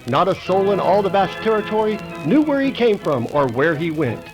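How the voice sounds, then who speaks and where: A man's voice: high-pitched, very animated, moderate pace, rough recording. An American man narrating an old-time western radio drama in a dramatic, grandiose manner. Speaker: announcer and narrator. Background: music.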